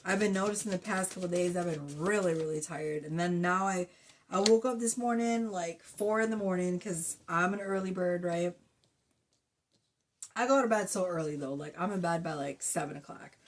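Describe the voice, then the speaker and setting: A woman's voice: fairly low pitch, moderate pace, slight background noise. Blunt, foul-mouthed woman with a sore throat. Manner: blunt, profane, chatty. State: sore throat, a bit hoarse. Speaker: tarot reader. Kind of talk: casual tarot reading to camera.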